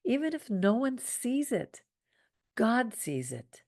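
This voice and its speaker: A woman hosting a Torah study group, reading scripture aloud and commenting in a soothing, unhurried way. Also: on the high side, very animated, a little mellow, dry recording, studio quality. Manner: soothing, unhurried teaching with small laughs.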